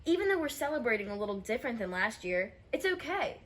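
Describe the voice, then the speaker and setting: A woman's voice: on the high side, big pitch swings, quick pace. A woman voicing an easygoing, sensible child puppet in a children's church puppet show, reassuring and earnest.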